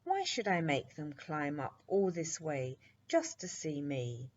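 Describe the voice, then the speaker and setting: A woman voicing a merry, kind, ageless witch from a children's storybook, gently amused, on a muffled recording. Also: deep voice, wildly swooping pitch, slow pace, full character voice. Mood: kindly.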